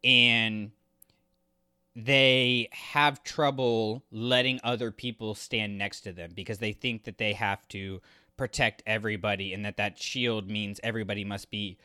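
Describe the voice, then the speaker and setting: A man's voice: fairly low pitch, fairly even intonation, unhurried, sharp tone, clear, resonant tone, no room sound, studio quality. A man co-hosting a podcast, giving his opinions on a story in casual conversation. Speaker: podcast co-host. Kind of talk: casual opinionated review chat.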